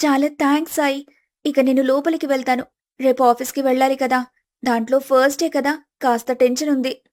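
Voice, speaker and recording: A woman playing a gentle, wronged young daughter in a family story, earnest and pleading in manner. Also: high-pitched, fairly even intonation, a little fast, close to an everyday voice. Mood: polite. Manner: soft-hearted, pleading, earnest.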